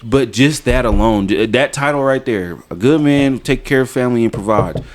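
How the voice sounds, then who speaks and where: A man, chatting casually on a podcast and telling stories with laughs. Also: moderate pace. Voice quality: deep voice. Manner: storytelling with laughs, Southern US slang. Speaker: podcast co-host.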